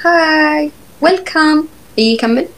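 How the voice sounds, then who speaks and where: A woman co-hosting a casual phone live stream, relaxed and quick to laugh. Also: high-pitched. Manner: easygoing, laughs often.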